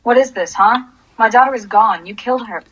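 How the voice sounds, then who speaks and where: A woman's voice: a little high, brisk, a bit bright, dull audio, lightly acted. A woman playing a bitter, desperate grieving mother in an anguished, confessional radio drama performance. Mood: angry. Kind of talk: radio drama acting with first-person monologue.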